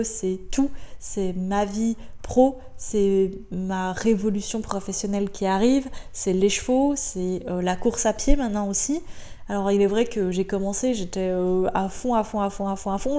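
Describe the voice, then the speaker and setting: A woman's voice: medium pitch, warm tone. A Belgian woman with a French accent hosting her own podcast, talking in a chatty, upbeat way with many filler sounds and repeated words. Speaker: podcast host. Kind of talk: casual solo podcast monologue. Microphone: podcast microphone.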